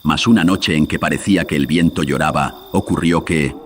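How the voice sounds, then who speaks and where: A man's voice: rumbling low, normal speed, slight background noise. A man narrating a scripted horror story in a solemn, ominous manner, his voice processed with filters. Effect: voice processed with audio filters.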